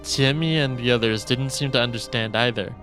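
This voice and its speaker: A man's voice: medium pitch, fairly quick. A man streaming a game, expressively reading visual novel narration aloud. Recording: dry close mic, faint game music.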